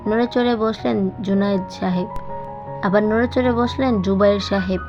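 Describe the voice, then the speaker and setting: A woman's voice: medium pitch, fairly even intonation, normal speed. Female storyteller, scripted dramatic narration, slightly lo-fi sound, music bed underneath. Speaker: audiobook narrator. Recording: slightly band-limited, music bed behind. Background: background music.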